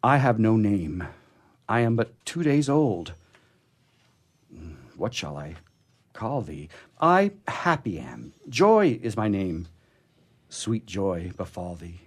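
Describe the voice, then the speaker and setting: A man hosting a poetry program, speaking in a halting, expressive way as he talks casually and reads verse. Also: fairly low pitch. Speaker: radio show host reading poetry. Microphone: studio microphone he drifts away from.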